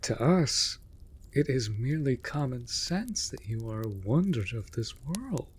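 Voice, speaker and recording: An actor playing a mage's raven familiar in a fantasy audio drama, theatrical and formal, scolding but caring. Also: male voice, medium pitch, big pitch swings, unhurried, slight background noise. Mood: earnest, frustrated concern. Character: male raven familiar of a storm mage, exasperated but devoted. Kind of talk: scripted fantasy audio roleplay.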